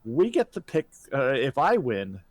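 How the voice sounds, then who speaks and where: A man's voice: medium pitch, wildly swooping pitch, a little slow. A man co-hosting a podcast in casual, bantering conversation with a friend. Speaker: podcast co-host and streamer.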